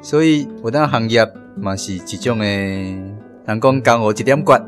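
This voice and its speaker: A Taiwanese man with a slightly low voice shares a thought informally in a discussion. Soft guitar music sits behind him. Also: moderate pace. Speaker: reading group member. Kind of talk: casual spontaneous sharing.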